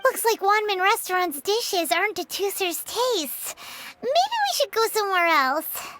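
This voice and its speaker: A woman voicing a tiny, bossy, excitable, childlike fairy companion in video game dialogue. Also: very high-pitched, a little slow, slight background noise, exaggerated voice. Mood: curious, cheeky. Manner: speaks of herself in third person.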